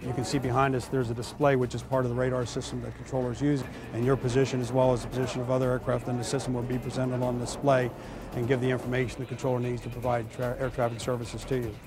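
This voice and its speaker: A man's voice: medium pitch, flat delivery, quick pace, a crowd in the background. A man giving explanatory interview answers as an expert, in technical and formal wording. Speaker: air traffic expert being interviewed.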